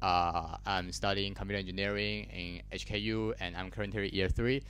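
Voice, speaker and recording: Deep-voiced Taiwanese student giving a talk. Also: male voice, steady tone, moderate pace, fairly bright tone, slight background noise. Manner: hesitant, many fillers and restarts. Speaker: conference speaker. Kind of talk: technical talk. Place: large lecture hall. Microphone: handheld mic.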